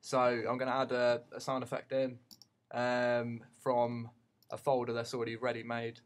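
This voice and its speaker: A man giving an unscripted tutorial as a teacher, haltingly thinking aloud as he goes. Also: medium pitch, completely flat delivery.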